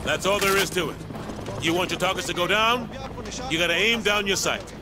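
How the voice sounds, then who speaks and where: Man acting a high-pitched brash American army sergeant. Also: a little fast, very noisy, natural voice. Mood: commanding, blunt. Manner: blunt, commanding, projecting to a group. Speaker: drill instructor. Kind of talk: military game tutorial dialogue.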